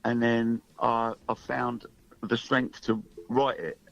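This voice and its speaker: A man's voice: mid-range voice, flat delivery, slow, gravelly, a bit bright, muffled recording. A middle-aged man, a singer-songwriter guest, chatting casually in a radio studio interview.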